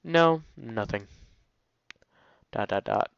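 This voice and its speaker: Guy reading a visual novel out loud w jokey comments, clean smooth voice, kinda dull dry mic. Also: medium pitch, lively intonation, takes it slowly, no room sound. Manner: jokey asides while reading. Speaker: let's play host. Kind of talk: casual visual novel read-aloud with commentary.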